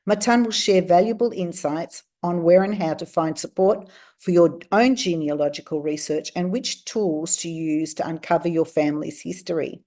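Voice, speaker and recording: Webinar host, woman, smooth scripted intro, low mellow tone. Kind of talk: read introduction.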